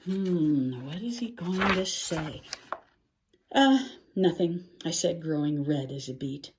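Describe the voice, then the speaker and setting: An older woman reads a rhyming children's story aloud in an expressive, theatrical way, with musing exclamations and dramatic pauses. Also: deep voice, background noise. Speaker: picture-book reader.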